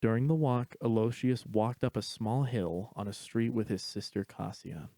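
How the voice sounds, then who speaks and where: A man's voice: on the low side, moderate pace, dark tone, no echo. A man reading a scripted story aloud as its narrator.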